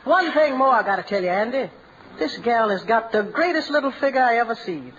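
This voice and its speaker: Cocky sailor Casanova, showy and bragging, through a dull hissy old broadcast. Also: male voice, extremely high pitch, expressive, brisk, fairly bright tone. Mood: boastful. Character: boastful, carefree young sailor ladies' man. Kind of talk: 1940s radio comedy acting. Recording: muffled old radio broadcast with hiss.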